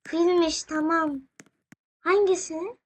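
A woman's voice: very high voice, extremely slow. A young female gaming host giving playful, casual commentary in a sing-song, exclaiming manner. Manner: sing-song, exclaiming, humming nonsense syllables. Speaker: young gaming video host playing alongside parent. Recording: very noisy home recording with clicks.

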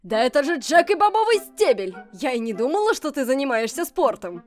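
A tough, teasing fish-monster woman from a cartoon dub, played by a woman. Her voice is high and her delivery blunt and brash. Music sits faintly behind it. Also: sing-song, brisk, shouting. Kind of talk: fan comic dub acting.

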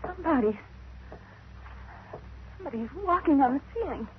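An actress playing a frightened, excitable young woman, a detective's companion, in 1950s radio drama style. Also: high voice, moderate pace. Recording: very noisy, muffled old radio recording.

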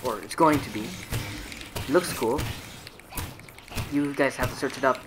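A man's voice: medium pitch, measured pace. A young man giving casual, rambling, self-mocking voiceover commentary on his own gameplay clips. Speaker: gaming video creator. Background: game music.

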